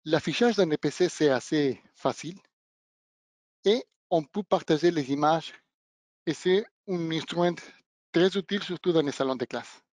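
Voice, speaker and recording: A man who is not a native speaker of the language, giving a webinar demonstration of training equipment and pausing often to search for words. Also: a little high, a little mellow, no room sound. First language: not French. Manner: halting, searching for words, non-native phrasing. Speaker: product trainer.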